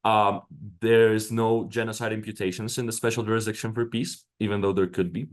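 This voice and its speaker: A man's voice: fairly low pitch, flat delivery, a little fast. A man presenter answering questions at an academic workshop, hesitant with many fillers and restarts.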